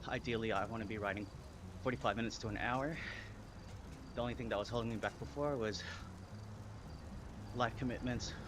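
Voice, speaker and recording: A man's voice: fairly low pitch, steady tone, fairly quick, long pauses, noisy background. A man in his thirties with an Australian accent, chatting casually on a live stream while riding an indoor bike trainer, a little out of breath. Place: home indoor cycling setup.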